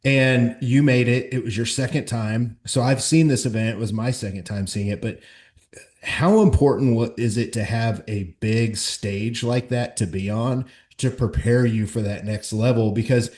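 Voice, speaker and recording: A man's voice: medium pitch, moderate pace, darkish tone. A man hosting a casual interview, enthusiastic and loose, swearing now and then. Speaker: podcast host.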